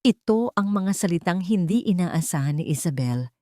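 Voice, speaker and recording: Low-voiced woman narrating a dramatic story. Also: expressive, normal speed, mellow, no echo, clean studio sound.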